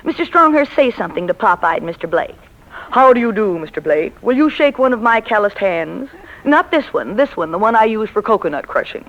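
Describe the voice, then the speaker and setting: An actress playing a sly, teasing secretary in a 1940s radio comedy-drama. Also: female voice, medium pitch, big pitch swings, a little fast, a bit bright. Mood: playful. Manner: wry, cool, teasing. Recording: muffled old 1940s radio recording.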